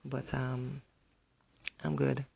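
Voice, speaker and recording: A woman's voice, hoarse from a recent flu and deeply gravelly with fry. She speaks in an earnest, encouraging tone and often stops to restart her sentences as she shares her reflections. A faint hiss of noise sits behind her. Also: little pitch movement, drawn-out words, dark tone, dull audio. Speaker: relationship speaker. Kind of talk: reflective motivational monologue.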